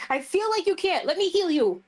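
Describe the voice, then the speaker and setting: A woman's voice: very high-pitched, big pitch swings, normal speed, a little mellow. A woman chatting casually as she plays a tabletop roleplay game.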